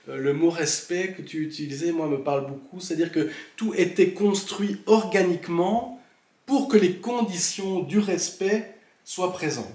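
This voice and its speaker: A man joining a relaxed conversation, speaking in a measured way about a school he went to. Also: fairly high pitch. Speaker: former Steiner school pupil. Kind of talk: casual conversation. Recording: echoey room.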